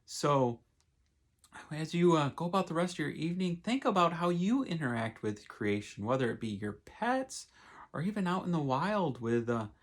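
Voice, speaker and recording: A male pastor speaks as if to children at storytime. His pitch rises and falls in an animated way, and his voice is somewhat husky. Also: moderate pace. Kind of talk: expressive storybook read-aloud with casual asides.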